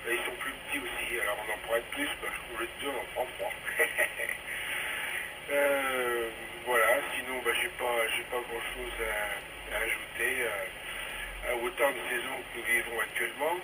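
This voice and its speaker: A man's voice: medium pitch, steady tone, measured pace. A man chatting casually and ramblingly as an amateur radio operator over a VHF two-way radio link. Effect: two-way VHF radio transmission. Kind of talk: casual chat on a ham radio round. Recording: very noisy radio link, distant mic.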